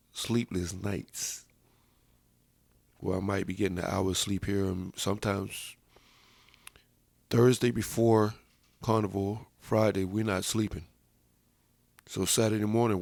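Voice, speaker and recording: Gravelly low-voiced man telling stories. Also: a little mellow, no room sound. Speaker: podcast co-host, sound system operator. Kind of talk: casual podcast chat.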